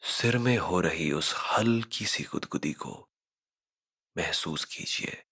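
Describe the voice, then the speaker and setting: A man narrating a scripted guided meditation, giving measured instructions with frequent pauses. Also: deep voice, lively intonation, fairly bright tone, husky.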